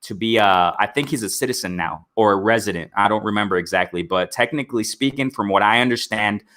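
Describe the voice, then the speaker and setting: A man with a lowish but bright, forward voice, talking quickly as he hosts a live boxing chat. He rambles in a casual way, restarts his sentences and hedges with "if I'm not mistaken.". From Mexico, raised in the United States. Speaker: host of a live boxing stream.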